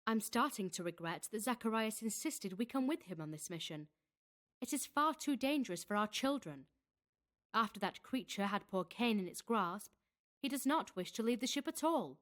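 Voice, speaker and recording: A woman's voice: medium pitch, expressive, normal speed, close to an everyday voice. A woman playing a protective, disapproving mother on a space expedition in an amateur audio drama. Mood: disapproving, worried.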